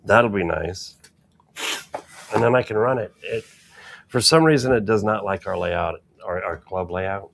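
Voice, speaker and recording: A man's voice: fairly low pitch, measured pace, vocal fry, pauses often. A man co-hosting a casual hobby chat, chatty and greeting viewers as he reads their comments.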